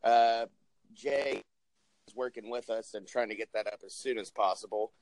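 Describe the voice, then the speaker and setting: Hoarse young male co-host, loose and profane, dry close sound. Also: lowish voice, fairly even intonation, moderate pace, darkish tone, dry recording, poor audio. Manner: joking, profane, rambling plugs. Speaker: podcast co-host. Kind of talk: casual chat.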